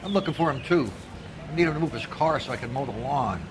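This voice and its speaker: A man's voice: medium pitch, lively intonation, fast talker, noisy background, natural voice. An actor playing an irritated, plain-spoken male neighbour in a crime video game. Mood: annoyed.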